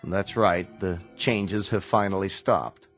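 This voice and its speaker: An actor in a radio drama playing a stern, sardonic medical researcher who speaks in a measured, commanding way. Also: male voice, deep voice, fairly even intonation, faint music behind. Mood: grave, explanatory.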